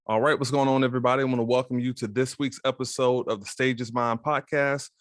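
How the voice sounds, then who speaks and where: A man hosting a podcast, welcoming and questioning his guest in a relaxed, friendly way. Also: medium pitch, fast talker, no echo, clean studio sound. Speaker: podcast host. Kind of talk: casual interview hosting.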